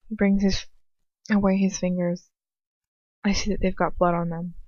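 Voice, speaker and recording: A woman with a slightly low voice reads aloud casually on a dry, dull home recording. She rambles off script in a goofy, self-mocking way, chatting as much as she narrates. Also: darkish tone, no echo. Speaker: amateur fan fiction reader.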